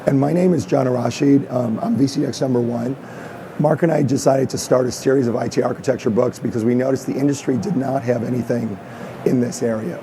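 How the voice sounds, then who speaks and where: A man's voice: mid-range voice, steady tone, fairly quick, dark tone, a little hiss or hum. A man with a strained, fading voice, welcoming the audience and introducing a panel on a conference stage. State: losing his voice. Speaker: host and author.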